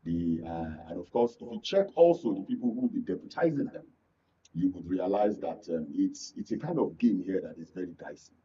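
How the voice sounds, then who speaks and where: A Nigerian man speaking as a guest in a political panel discussion, halting and repeating words for emphasis. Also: sits low, animated, slight background noise. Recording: dull, slightly distant studio sound.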